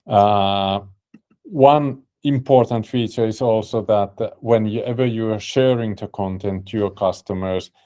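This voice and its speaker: Slow, halting Finnish man presenting. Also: on the low side, mellow. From Finland. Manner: halting, many fillers and restarts. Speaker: webinar host and company CEO. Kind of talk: webinar presentation.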